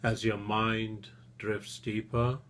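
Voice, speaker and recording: A man leading a guided relaxation session as a therapist, speaking soothingly and gently in short phrases with long pauses. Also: on the low side, flat delivery.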